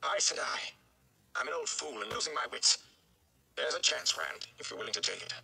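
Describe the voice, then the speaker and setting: A man voicing a stout old village mayor, flustered and blustering, with an emphatic, excitable delivery in a storybook reading. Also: medium pitch, moderate pace, gravelly, voice pushed up, full character voice. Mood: wry.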